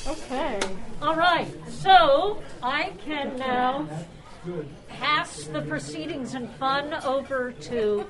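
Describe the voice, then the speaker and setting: A woman with a high voice and an unhurried pace hosts a gathering in a chatty, teacherly way. A crowd chatters clearly behind her in the room. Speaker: event host. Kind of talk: casual announcing to a group. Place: restaurant banquet room. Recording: live in a busy crowded room. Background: chattering luncheon crowd.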